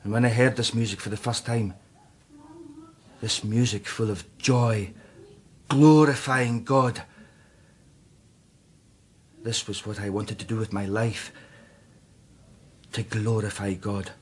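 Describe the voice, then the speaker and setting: An actor playing a brooding, guilt-ridden Scottish composer, intense and defensive, in a radio drama. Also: male voice, lowish voice, slow pace, long pauses, husky. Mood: anguished, fervent.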